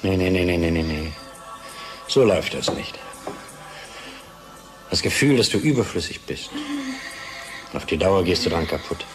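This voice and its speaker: An actor in a German radio play playing a troubled, nagging husband, agitated and worn down by office life. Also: male voice, low voice, a little slow, long pauses, music in the background. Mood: bitter.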